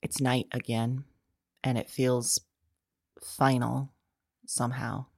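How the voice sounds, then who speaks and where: A woman playing a frightened, lonely, tender woman resigned to doom in an audio drama, speaking haltingly and hushed, sometimes whispering. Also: very deep voice, flat delivery, vocal fry, no echo, studio quality, heavily acted. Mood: uneasy, resigned. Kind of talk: intimate audio drama monologue.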